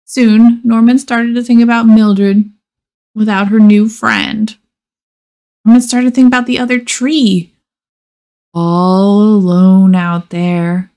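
A woman reading a children's picture book aloud expressively, with measured dramatic pauses and emphasis. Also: mid-range voice, level tone, dark tone. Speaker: storybook reader.

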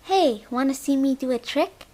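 A woman's voice: high-pitched, normal speed, a little mellow, lightly acted. A woman voicing a cute, playful little girl who is a teacup, in an audio drama. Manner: childlike, playful.

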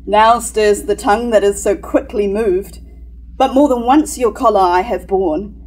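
A woman playing a proud, scornful, scheming royal sister in a staged reading of verse tragedy, declaiming in measured lines. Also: mid-range voice, darkish tone, a little hiss or hum, natural voice, rough recording. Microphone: stand microphone at lectern.

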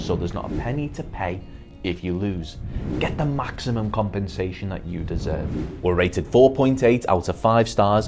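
A man in his thirties with a British accent, giving a scripted, measured and carefully articulated explainer to camera as a presenter for a claims firm. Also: low-pitched. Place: indoor studio set with brick wall and armchair. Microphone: lapel mic.

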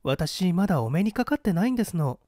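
A composed young lady, played by a male reader, answers as a witness in a mystery audiobook. The voice is raised high and lilting, with courteous, refined phrasing. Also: sing-song, fast, a little mellow, dry recording, clean studio sound. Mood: polite, composed. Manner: courteous, refined feminine speech.

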